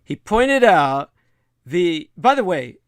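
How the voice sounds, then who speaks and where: A man hosting a livestream, giving a rambling, scornful opinion monologue in a creaky voice with many drawn-out hesitations and coarse language. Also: high-pitched, sing-song, no room sound. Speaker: livestream host.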